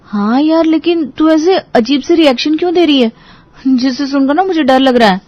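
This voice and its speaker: A woman's voice: high voice, normal speed, no echo, lo-fi sound, natural voice. A woman playing an anxious, earnest young bride-to-be in a Hindi audio story drama. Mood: worried, defensive.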